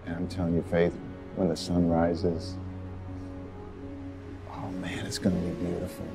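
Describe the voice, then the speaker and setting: A man in his forties playing a grieving widower on a city rooftop, talking haltingly with many pauses. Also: deep voice, completely flat delivery, gravelly, music in the background. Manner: hesitant, halting, soft-spoken with many pauses. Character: grieving middle-aged widower, gentle and soft-spoken. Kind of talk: film drama scene with outtakes.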